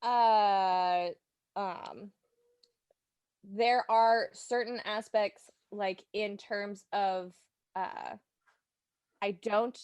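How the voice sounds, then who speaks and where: Woman guest chatting haltingly in a dry space. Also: mid-range voice, steady tone, a little mellow, clear, resonant tone, no echo. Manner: halting, peppered with "like". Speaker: guest listener. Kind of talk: casual podcast chat.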